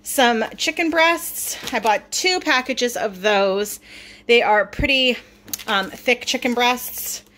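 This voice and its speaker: A woman's voice: a little high, takes it slowly, pushed and bright, slight background noise. A middle-aged woman chatting casually with many ums while she shows off her groceries in her home kitchen, filming with a phone. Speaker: vlogger showing a grocery haul. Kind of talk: casual chat over a grocery haul.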